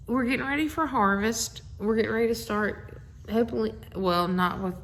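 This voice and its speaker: A woman's voice: a little high, moderate pace, a bit bright. A middle-aged woman chats casually and effusively into a phone, rambling through asides as she introduces a bedtime story reading. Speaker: host of a family social media video. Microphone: handheld phone.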